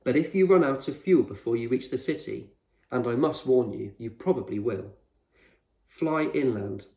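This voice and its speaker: A man voicing an eccentric, proud male explorer in a storybook, speaking with measured authority and reassurance. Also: mid-range voice, lively intonation, darkish tone, close to an everyday voice. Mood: firm, instructive. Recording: roomy echo, distant microphone.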